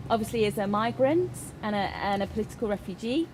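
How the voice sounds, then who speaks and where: A woman voicing a signed personal statement as an interpreter, in halting phrases with pauses. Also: expressive. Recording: clear background noise, ambient rumble.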